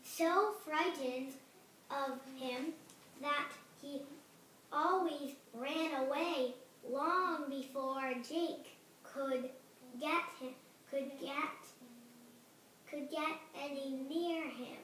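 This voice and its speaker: A high-pitched child reads a storybook aloud very slowly and hesitantly, often repeating and correcting words. The recording is very roomy, with the voice at a distance from the mic. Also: pushed and bright. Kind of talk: halting read-aloud of a storybook. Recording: roomy, distant home recording, faint noise.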